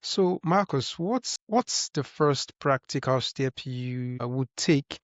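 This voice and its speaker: A man hosting a podcast, asking interview questions in a measured, encouraging way. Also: mid-range voice, lively intonation, dry recording. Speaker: podcast host. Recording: slightly dull, lo-fi sound.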